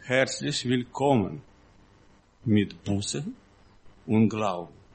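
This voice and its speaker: A man preaching in a non-native, foreign-accented voice, halting and simply phrased, with emphatic repetition. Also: on the low side, expressive, creaky, darkish tone, husky. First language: not German. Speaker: guest preacher. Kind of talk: sermon-like teaching talk to a congregation.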